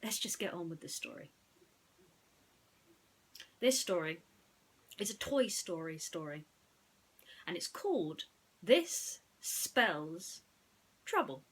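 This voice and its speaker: A woman narrating a bedtime story in an expressive, deliberate way, with chatty asides. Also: lowish voice, slightly rough.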